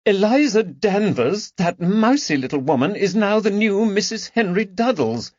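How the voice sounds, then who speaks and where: A man's voice: very animated, moderate pace, a little mellow, dry recording. A man putting on a dramatic, distressed aristocratic lady in her forties. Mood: bitter, distressed. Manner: high, tremulous and theatrical. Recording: slightly dull dry recording.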